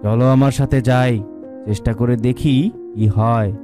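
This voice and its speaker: A man playing a solemn, conciliatory village tantric in a cartoon, speaking in a measured, admonishing way. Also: medium pitch, fairly even intonation, over music, natural voice. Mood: grave advising. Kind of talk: cartoon dialogue.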